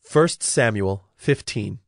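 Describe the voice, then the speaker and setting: Measured male scripture narrator, dry studio sound. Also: lowish voice, animated, gravelly, dry recording.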